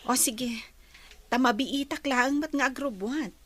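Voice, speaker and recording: Actress as high-voiced, agreeable young Ilocano woman. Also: a little slow, fairly bright tone, no echo. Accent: Ilocano Filipino. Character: agreeable young woman student, sweet-tempered girlfriend. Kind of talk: Ilocano radio drama acting.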